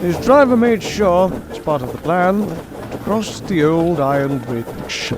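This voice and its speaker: A male storyteller narrates a children's tale in a dramatic, scripted style. His voice is fairly high and he takes his time, with loud music playing underneath. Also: animated, a little slow.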